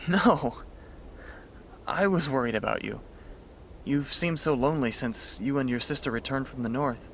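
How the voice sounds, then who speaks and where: A man's voice: medium pitch, moderate pace, vocal fry, pauses often, fairly bright tone, a little hiss or hum. A man acting an earnest, good-humoured young pony student in a radio drama, polite and self-deprecating. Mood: concerned, gentle. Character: young male pony student mage, earnest, humorous, kind.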